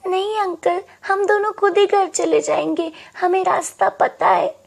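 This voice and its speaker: Woman voicing a very high-pitched, creaky young girl. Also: a little slow, exaggerated voice. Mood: worried. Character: brave, polite young orphan girl with little sister. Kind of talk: animated moral story character voice.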